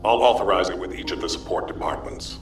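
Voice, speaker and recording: A stern military commander for a video game. He sounds roomy and set back from the mic, speaking in measured, formal briefing style over clear music. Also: sits low, recorded from a distance. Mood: dry, conceding. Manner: measured, official briefing delivery.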